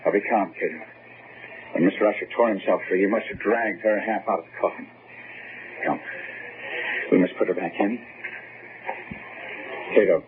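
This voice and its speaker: Male actor as resolute, anxious gentleman, low voice, urgent and commanding, radio melodrama, narrow noisy sound. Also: moderate pace, long pauses, close to an everyday voice. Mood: grimly resolute. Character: resolute gentleman keeping watch, stubborn and anxious. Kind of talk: old-fashioned radio drama acting. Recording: muffled, noisy phone-like radio recording.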